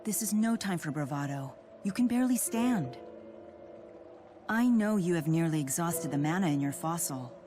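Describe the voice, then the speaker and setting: An actress playing a composed, blunt, cool-headed woman in a fantasy adventure game, speaking in a measured, frank way. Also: fairly low pitch, expressive, lightly acted. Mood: stern, matter-of-fact. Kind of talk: video game cutscene voice acting. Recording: game music behind the voice.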